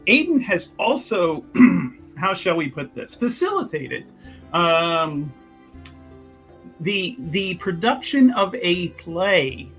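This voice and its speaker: A man's voice: fairly high pitch, fairly bright tone. A man playing a tabletop game, casually recapping what his character has been up to, often stopping to search for words and restarting.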